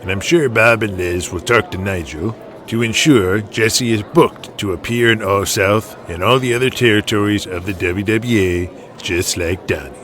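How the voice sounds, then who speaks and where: A man's voice: lowish voice, fairly bright tone, lightly acted. Man playing a firm, weary older chairman. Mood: commanding. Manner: measured, firm, deliberate. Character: authoritative wrestling alliance president, older, weary and firm.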